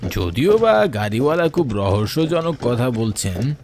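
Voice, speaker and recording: A man giving a scripted, dramatic first-person narration of an animated horror story. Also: mid-range voice, a little slow. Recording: clear background noise of night crickets.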